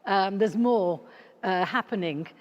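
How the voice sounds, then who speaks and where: A woman asks questions in a hesitant, searching way, filling her pauses with "um". Her voice rings out with a long reverb, as if she is in a large hall. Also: medium pitch, highs cut off. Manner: hesitant, many "um"s. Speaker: interviewer. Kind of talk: panel interview.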